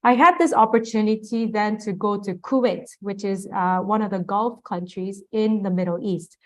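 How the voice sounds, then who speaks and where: A Japanese woman speaking English as a guest lecturer over a video call, her voice sitting at an ordinary middle pitch. She explains things in a conversational manner, now and then restarting a phrase. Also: moderate pace, a little mellow. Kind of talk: online lecture.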